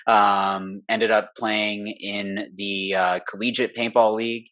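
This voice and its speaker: A man's voice: fairly low pitch, flat delivery, slow, fairly bright tone. A young man, a guest on an interview, talking at length in a rambling, earnest way with many fillers, from a home studio room. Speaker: guest, pro paintball player. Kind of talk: casual interview talk.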